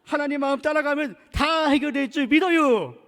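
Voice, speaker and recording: A man's voice: extremely high pitch, normal speed, fairly bright tone, voice pushed up. A man voicing a humble king praying aloud in a drawling Chungcheong Korean dialect, in a plain, folksy manner. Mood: trusting. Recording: long reverb in church sanctuary.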